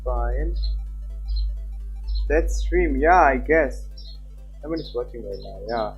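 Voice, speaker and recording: A man's voice: medium pitch, expressive, slow, highs cut off, soft background music. A man livestreaming a game, chatting casually and reading visual novel text aloud. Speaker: live streamer.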